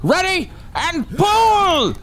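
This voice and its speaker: A man's voice: very high voice, sing-song, drawn-out words, over a noisy crowd, exaggerated voice. An actor playing a crew boss who barks orders at his men. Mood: commanding. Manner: barking orders. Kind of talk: audio drama acting.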